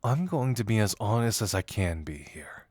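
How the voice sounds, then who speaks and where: A man plays a flirtatious male detective in a romantic audio roleplay, confessing as a secret admirer. His delivery is lively and swooping, teasing but earnest, with shy hesitations. The recording is close and dry. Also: on the low side, normal speed, no room sound.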